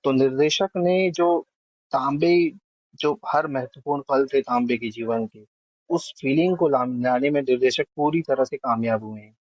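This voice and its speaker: A man's voice: mid-range voice, a little slow, no echo. A man giving a spoken film review as a panelist, going through it point by point. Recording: dry, slightly dull lo-fi audio.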